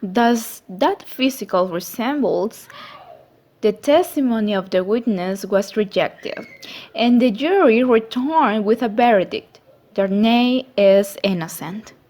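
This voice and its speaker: High female voice, tender young daughter, deliberate pace, heartfelt amateur literary drama acted by a woman. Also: darkish tone. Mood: measured, recounting. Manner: slow, deliberate reading when narrating. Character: distraught, tender young daughter narrating her own past.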